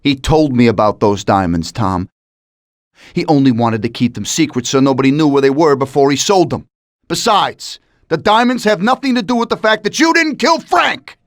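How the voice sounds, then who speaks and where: A man's voice: a little high, lively intonation, normal speed, no echo, clean studio sound, natural voice. A man playing a bitter, menacing American mobster who taunts an old friend in a crime game. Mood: angry accusing. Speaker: voice actor in a game cutscene. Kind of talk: dramatic crime game acting.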